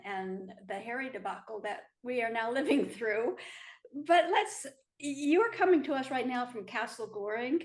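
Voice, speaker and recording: A woman hosting a TV interview, asking eager, admiring questions. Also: a little high. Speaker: interview host.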